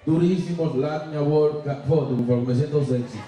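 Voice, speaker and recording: A man's voice: on the high side, moderate pace, background noise. A man presenting an award on stage, joking and teasing the crowd through a handheld microphone in a large hall. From Dominican Republic. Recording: echoey hall, from far off.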